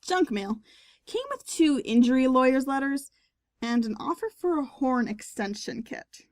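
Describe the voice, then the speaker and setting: Woman voicing cartoon pony musician, female, sardonic, forgiving, wry delivery, highish pitch, dry sound. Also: expressive, unhurried, darkish tone, dry recording. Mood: wry joking. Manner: wry, teasing, wordy mock-formal. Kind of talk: audio drama character acting.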